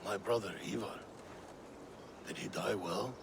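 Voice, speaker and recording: An actor playing a brooding, drunken Viking king who grieves his brother and tells slow, heavy stories of his family. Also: fairly low pitch, gravelly, slight background noise. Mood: bitter. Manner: slow, heavy, mournful storytelling. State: drunk and unwell. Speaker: game character. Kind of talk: video game cutscene acting.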